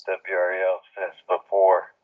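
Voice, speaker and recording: A low-pitched American man talks casually as a caller on a radio net. His phrasing is halting and hesitant, and the sound is thin and phone-like, squeezed through a narrow transmission. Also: monotone. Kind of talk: casual radio question and comment.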